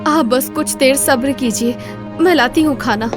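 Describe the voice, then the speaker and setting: A woman voicing a devout, meek young village wife in an animated Hindi moral story, pleading and dutiful. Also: high-pitched, normal speed, over music, lightly acted.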